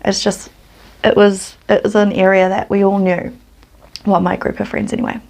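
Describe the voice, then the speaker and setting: A woman's voice: mid-range voice, flat delivery, normal speed, creaky, darkish tone. A woman from New Zealand with a New Zealand accent, hosting her true crime channel and telling the story in a casual, earnest way.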